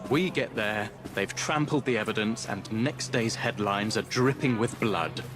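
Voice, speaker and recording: A man's voice: on the low side, lightly acted. Man playing a grave British police inspector, music behind. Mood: grim, weary. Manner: grave, formal, measured storytelling. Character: weary, earnest male Victorian London police inspector. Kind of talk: dramatic video game cutscene acting.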